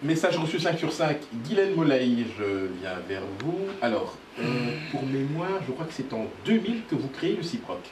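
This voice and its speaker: A man's voice: on the high side, very animated, moderate pace, few pauses, music in the background. A man hosting a studio talk show, courteously interviewing guests with frequent short acknowledgements and some hesitant fillers. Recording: roomy sound from a distant mic with background noise.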